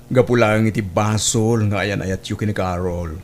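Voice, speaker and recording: A man's voice: on the low side, steady tone, moderate pace, a little mellow, a little hiss or hum, close to an everyday voice. A man playing a concerned confidant in an Ilocano family drama, earnestly admonishing a straying friend.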